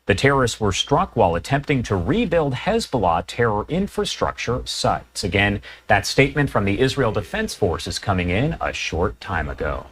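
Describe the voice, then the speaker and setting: A male news anchor presents live updates with a lively, rising and falling pitch. He reads quotes with measured emphasis in a polished broadcast style. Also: slightly rough. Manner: measured, emphatic newsreader delivery.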